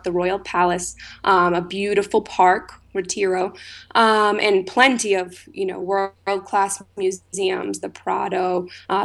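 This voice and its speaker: A woman from Massachusetts, a food tour founder answering interview questions in a chatty way, often using "you know" and "um". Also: mid-range voice. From Massachusetts, USA.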